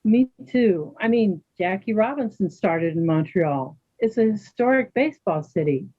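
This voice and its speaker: A woman playing a calm, kind, sporty Canadian woman in a readers theater dialogue. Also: mid-range voice, moderate pace, natural voice. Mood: friendly, matter-of-fact. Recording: thin phone-like sound.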